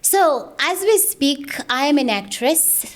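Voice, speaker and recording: A woman's voice: high-pitched, expressive, slow. A woman from Zambia, telling her life story as an interview guest in an earnest, emphatic way. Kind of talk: personal testimony in conversation.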